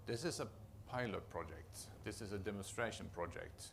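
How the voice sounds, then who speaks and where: A man with a Norwegian accent giving a conference presentation as a project manager, often halting to restart and repeat words. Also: lowish voice, gravelly, fairly bright tone, slight background noise. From Norway.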